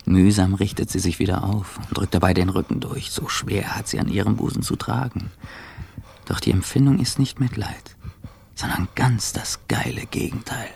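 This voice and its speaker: An actor playing a bitter, seething injured ex-athlete in a radio drama monologue, gravelly and hushed, speaking with deliberate intensity. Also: male voice, low voice, steady tone, heavily acted. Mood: sardonic, brooding. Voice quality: gravelly, husky, breathy. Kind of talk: radio drama inner monologue in second person.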